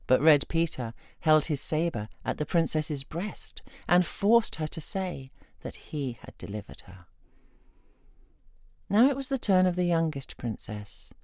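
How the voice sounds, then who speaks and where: A woman tells a folk tale in a fairly low voice that swings with lively intonation. She reads as a storyteller, and the recording is dry and close. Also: a little slow, no room sound. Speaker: storyteller reading a fairy tale. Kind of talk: expressive scripted storytelling.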